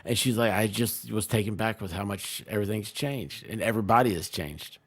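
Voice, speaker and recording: A man's voice: on the low side, brisk, breathy edge. A man in casual, rambling chat on a live stream, telling jokey anecdotes and quoting what people said. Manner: jokey, tells stories by quoting people in exchanges.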